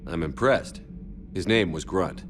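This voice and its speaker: A deep male voice over clear background music. He is a composed military commander in a video game, putting things directly and diplomatically in a steady, everyday delivery. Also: a little slow. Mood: composed, interested. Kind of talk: video game dialogue acting. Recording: music behind the voice.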